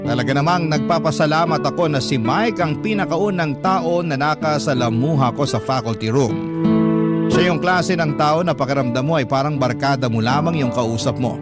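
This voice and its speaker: A man's voice: on the high side, moderate pace. A man narrating a scripted first-person story as the host of a radio drama. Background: prominent plucked guitar music.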